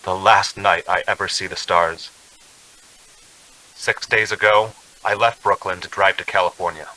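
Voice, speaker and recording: A man's voice: sits low, fairly even intonation, bright, forceful tone, low-quality recording. A man playing a haunted thirty-six-year-old traveler in a radio drama, with an urgent, dramatic way of talking. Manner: urgent, dramatic, halting confession. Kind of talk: 1940s radio drama acting.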